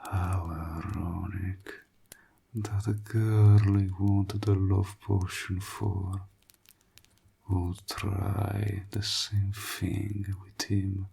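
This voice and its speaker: A man voicing an evil, mocking warlock in an audio roleplay, speaking in a theatrical, taunting, breathy and gravelly voice that often drops toward a whisper. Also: sits low, flat delivery, very slow, warm tone, slight background noise, full character voice. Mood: smug gloating. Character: evil, mocking male warlock toying with his client.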